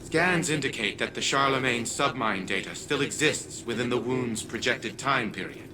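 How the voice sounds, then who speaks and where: A man's voice: medium pitch, background noise, lightly acted. A man voicing an arrogant, imperious older scientist patriarch in a video game, pompous and condescending. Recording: background music under the voice.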